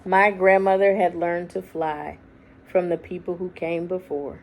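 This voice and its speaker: Woman, children's read-aloud storyteller, very unhurried, crackly vocal fry, dramatic phrasing, hushed drawn-out words. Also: on the low side. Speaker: children's book reader. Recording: faint background noise.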